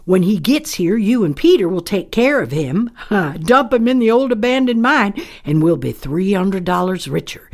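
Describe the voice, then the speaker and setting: A woman's voice: mid-range voice, sing-song, moderate pace, hardly any pauses, darkish tone. An actress playing a scheming, bossy outlaw mother in a comic Western audio drama, with a folksy, commanding American drawl. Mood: scheming, smug.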